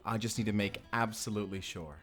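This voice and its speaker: An actor playing an earnest, tender Spanish vampire slayer in an audio roleplay, speaking deliberately and intimately to the listener with a Spanish accent. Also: male voice, on the low side, fairly even intonation, fairly bright tone, faint music behind, lightly acted. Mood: warm, thoughtful. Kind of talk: cinematic audio roleplay acting.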